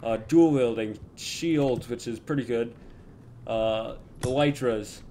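A young man chatting casually while gaming, talking in halting bits with plenty of fillers. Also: medium pitch, warm tone, a little hiss or hum. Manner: hesitant, many fillers. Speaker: channel host gamer.

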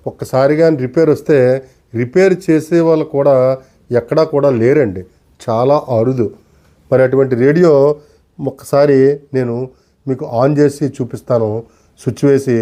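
A man giving an unscripted demonstration, explaining deliberately and politely in short, broken phrases. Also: medium pitch, mellow. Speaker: antique radio collector and presenter.

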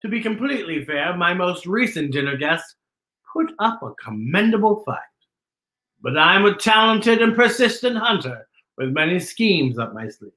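A sly, boastful storybook spider, played by a man, speaks with grand mock politeness. The voice is high and bright, swooping through arch, drawn-out phrases. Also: sing-song, reverberant, heavily acted, rough recording. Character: sly, boastful, theatrical predatory spider trickster. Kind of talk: storybook character reading.

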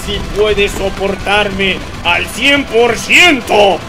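A man with a Latin American accent voicing a mighty, defiant superhero in a fan-dubbed anime battle, with dramatic, drawn-out delivery. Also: high-pitched, exaggerated voice. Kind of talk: anime fan dub acting. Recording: music behind the voice.

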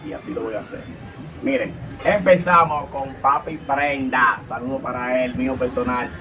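A Dominican man in his thirties reading shout-outs to viewers off his phone, filmed outdoors by a barred window. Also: mid-range voice, steady tone, music in the background. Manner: slow listing of names, reading off a phone. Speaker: channel host.

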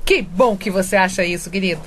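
A woman's voice: mid-range voice, brisk, a little hiss or hum, lightly acted. An actress playing a middle-aged mother in a radio soap, coaxing and scheming to get her way. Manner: coaxing, wheedling. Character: scheming mother Nélia, sweet-talking cook.

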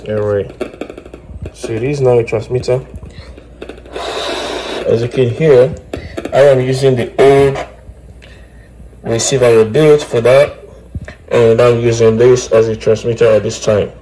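A man explaining step by step in a halting way, as host of a hands-on electronics tutorial. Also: mid-range voice, steady tone. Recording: clear background noise with clicks.

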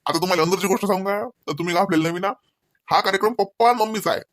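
Young father for cartoon comedy, male actor: high, fast, rambling, folksy Vidarbha Marathi accent. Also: fairly bright tone, no room sound, clean studio sound. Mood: explaining, sheepish. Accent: rural Marathi of Vidarbha. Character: chatty, doting young father of twins.

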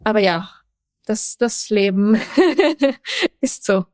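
A young woman from Afghanistan speaking German with an Afghan accent as a podcast guest, often pausing with fillers and laughing. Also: medium pitch, darkish tone, no echo, clean studio sound. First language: not German. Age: young adult. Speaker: guest, human rights activist. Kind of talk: podcast interview.

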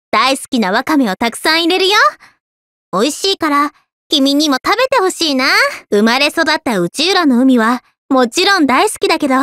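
A woman voicing an easygoing, affectionate teenage schoolgirl in an anime game, with a caring, gently teasing manner. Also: sits high, no room sound, clean studio sound. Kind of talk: scripted anime game voice lines.

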